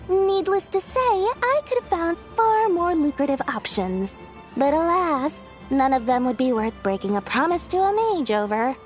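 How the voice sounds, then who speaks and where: An actress voicing a greedy, cheerful young female merchant in a game, talking in a bubbly sing-song sales pitch. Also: sing-song, a little slow, soft background music. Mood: cheery salesy. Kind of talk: video game dialogue.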